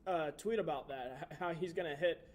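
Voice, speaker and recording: Young dude with a pretty high voice, laid back, chatty sports podcast host. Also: male voice, normal speed. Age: 20s. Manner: relaxed, chatty, asks questions. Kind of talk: casual sports chat.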